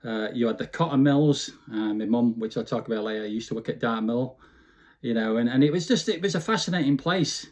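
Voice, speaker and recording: A man's voice: medium pitch, a little fast, darkish tone. A man in his fifties with a Bolton, Lancashire accent, reminiscing casually and informally in an interview, often adding "you know". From Bolton, Lancashire, England. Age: 50s. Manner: chatty, informal, frequent "you know" tags. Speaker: interview guest.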